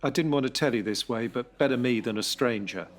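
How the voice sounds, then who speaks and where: A man playing an apologetic but blunt racing team boss in an audio story, husky, matey and persuasive with some hesitation. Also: medium pitch, a little fast, a little hiss or hum, natural voice. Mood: blunt, urgent.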